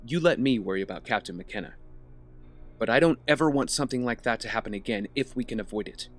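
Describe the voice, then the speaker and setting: An actor playing a brooding, guarded man, a vigilante in an audio drama, speaking in a terse, gruff and stern manner. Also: mid-range voice, fast, faint music behind, natural voice.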